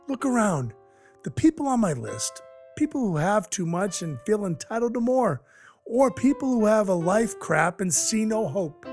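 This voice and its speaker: A man playing a cynical, hard-bitten Icelandic dwarf who works for Santa, narrating an audio drama in a wry, deadpan, formal way. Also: a little high. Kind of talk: noir-like first-person audio drama narration and dialogue. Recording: music behind the voice.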